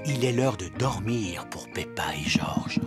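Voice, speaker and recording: A man playing the friendly storybook narrator of a children's cartoon, delivering short scripted lines clearly. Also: lowish voice, lively intonation, unhurried. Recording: loud music under the voice.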